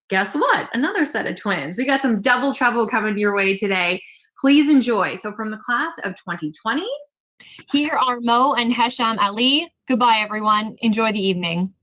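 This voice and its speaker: A woman's voice: mid-range voice, expressive, moderate pace, a bit bright. A woman hosting an event, upbeat and welcoming, reading a scripted handover. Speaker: event host. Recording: dull lo-fi audio.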